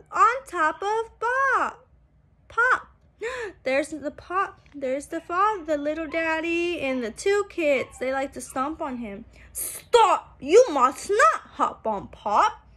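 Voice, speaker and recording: A young woman, a preschool teacher, reading a picture book aloud to small children in an animated, sing-song, exaggerated way. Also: very high voice, slow pace. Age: young adult. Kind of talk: read-aloud story time for small children. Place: small room at home, indoors. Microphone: phone at arm's length.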